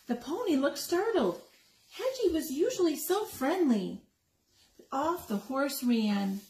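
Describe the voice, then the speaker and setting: A woman's voice: sits high, sing-song, measured pace, a little mellow. A woman doing a picture book read-aloud for young children, asking her listeners questions and exclaiming over the pictures. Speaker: story time reader. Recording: roomy echo with noticeable background noise.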